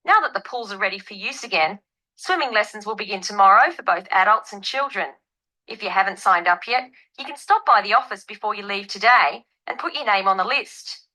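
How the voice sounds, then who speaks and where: Woman doing club manager reading out a scripted announcement, upbeat n clear, bright voice. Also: medium pitch, animated, a little fast, poor audio. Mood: upbeat, informative. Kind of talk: scripted announcement in a listening test.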